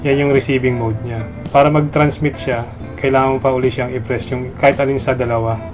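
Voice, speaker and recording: A man's voice: mid-range voice, little pitch movement, fairly quick, music in the background, rough recording. A man with a Filipino accent demonstrates a product step by step in a casual, instructional way.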